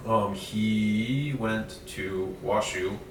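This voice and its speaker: A man's voice: on the low side, extremely slow. A man in his twenties hosting a casual chat, informal and slangy, echoing phrases. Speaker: podcast host. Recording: echoey room, distant, faint noise.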